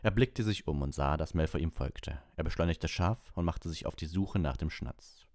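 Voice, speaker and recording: A man's voice: very deep voice, normal speed, darkish tone, no echo, clean studio sound. A man reading a story aloud as an audiobook narrator.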